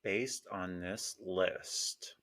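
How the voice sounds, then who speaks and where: A man's voice: deep voice, fairly even intonation, drawn-out words, crowd noise. A man moderating a casual panel chat, hesitant and placating, often repeating himself.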